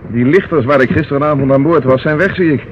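An actor playing a decisive, businesslike police inspector with brisk authority in an old radio crime drama. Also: male voice, medium pitch, natural voice. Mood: deliberate, businesslike. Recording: muffled, noisy old radio recording.

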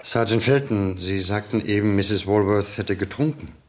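A man's voice: lowish voice, normal speed, natural voice. An actor playing a stern, insistent father and head of a household in a radio crime drama. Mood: sober.

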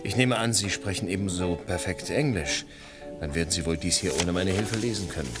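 A man's voice: sits low, fairly quick, over loud music, natural voice. An actor playing a cocky, suave, ironic male con man in a radio drama. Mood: smooth, ironic. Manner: suave, self-assured, ironic. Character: cocky, smooth male con man chasing big money. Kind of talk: 1980 German radio drama acting.

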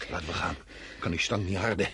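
An actor in a radio drama playing an exhausted, irritable husband on the run, curt and sardonic. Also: male voice, low-pitched, fast talker, close to an everyday voice. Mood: sardonic, irritated. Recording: noisy radio play recording.

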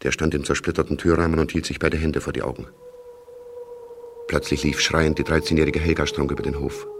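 A man reading scripted literary narration in a radio play, in a measured, detached, factual way. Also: extremely low pitch, level tone. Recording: clearly noisy background.

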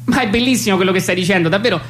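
A woman's voice: mid-range voice, very fast, lightly acted. An actress playing an effusive, hesitant young woman in a comic radio phone dialogue. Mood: elated, gushing. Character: gushing young woman tenderly declaring non-love.

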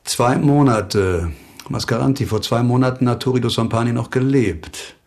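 An actor playing a wry, probing former doctor who works as a police investigator in a radio crime drama, dry and commanding. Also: male voice, mid-range voice, normal speed, a little mellow, natural voice.

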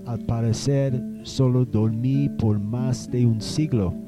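Slow, courtly old settler played by a man. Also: medium pitch, fairly even intonation, music in the background, lightly acted. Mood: solemn, puzzled. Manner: slow, formal, halting. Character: proud old German settler man, revived after a century, courtly. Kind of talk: radio drama acting.

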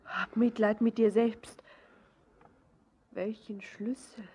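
An actress playing a devoted, tender and fearful wife, imploring in intimate, poetic radio drama style with a breathy, often whispering voice. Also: female voice, fairly high pitch, steady tone, takes it slowly, a little mellow, heavily acted. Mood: tender, soothing.

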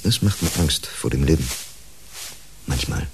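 Radio play: a soothing, heartsore confidant, extremely low and expressive, hissy recording. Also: male voice, measured pace, heavily acted. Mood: vulnerable. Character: gentle, attentive male confidant with his own heartache. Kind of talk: German radio play dialogue. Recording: clear background hiss.

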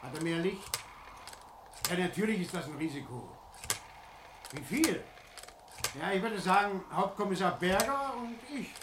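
Harried police sergeant, fairly high voice, lively intonation, clipped, radio crime play. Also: male voice, measured pace, long pauses. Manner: clipped, businesslike. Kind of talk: German radio crime drama acting. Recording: noisy old radio play, clicking.

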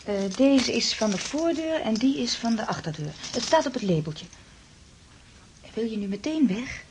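A woman's voice: on the high side, animated, moderate pace. An actress playing a concerned, hesitant female friend in a radio play, fussing as she talks. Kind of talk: Dutch radio play acting. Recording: clearly noisy with clicks.

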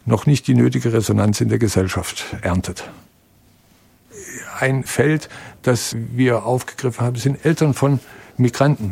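Gravelly, husky man, dry close sound. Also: medium pitch, a little mellow. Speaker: foundation executive. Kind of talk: reflective interview statement.

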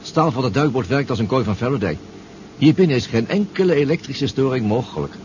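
A man's voice: medium pitch, lively intonation, a little fast, darkish tone, natural voice. An actor playing a confident, proud inventor piloting his own submarine in a 1970s radio drama, clipped and matter-of-fact. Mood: brisk, confident. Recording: dull, noisy 1970s radio recording.

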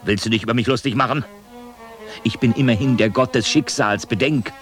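An actor playing a haughty, grumbling god of fate, speaking imperiously and scornfully in theatrical radio drama style. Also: male voice, mid-range voice, fairly quick, a bit bright, natural voice. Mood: affronted, incredulous. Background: music.

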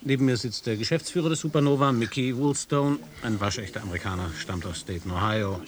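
A man's voice: mid-range voice, fast talker, slight background noise, close to an everyday voice. An actor playing a male American radio reporter who asks hesitant questions and reports on air. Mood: reporting. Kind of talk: radio play acting.

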